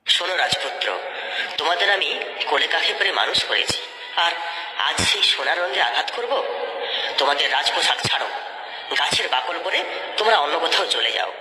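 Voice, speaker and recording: An actor playing a gruff but soft-hearted royal executioner in a cartoon tale, speaking in a theatrical way. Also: male voice, fairly high pitch, big pitch swings, normal speed, long pauses, full character voice. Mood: tender, urgent. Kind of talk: Bengali cartoon story dialogue. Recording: echoey hall-like reverb.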